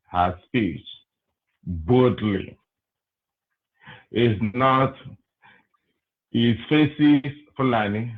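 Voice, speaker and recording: A man from Nigeria with a Nigerian accent speaks over a tinny phone line. His voice is low and his pace slow and unhurried, with frequent pauses and fillers as he works through his greetings. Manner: slow, hesitant, many fillers. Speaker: caller. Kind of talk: call-in greetings and comment.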